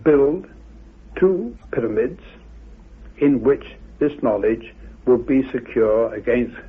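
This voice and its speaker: A man with a husky, gravelly voice giving an expert account from historical sources in halting, deliberate phrases. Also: mid-range voice, animated. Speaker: expert guest on ancient legends. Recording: tinny phone-like old radio recording.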